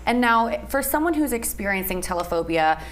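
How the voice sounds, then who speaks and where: A woman's voice: fairly high pitch, lively intonation, normal speed. A woman hosting a broadcast news interview, speaking as a TV anchor to a guest.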